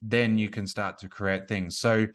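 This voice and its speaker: Low-voiced chatty man in his forties. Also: flat delivery, measured pace. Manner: chatty, frequent "like" and restarts. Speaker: business coach and author. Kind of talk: casual interview conversation.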